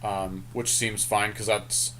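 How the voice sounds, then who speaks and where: A man's voice: lowish voice, flat delivery, slow pace, fairly bright tone, a little hiss or hum, rough recording. A man co-hosting a podcast, chatting casually and thinking aloud in informal slang as he talks through a card game draft. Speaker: podcast co-host.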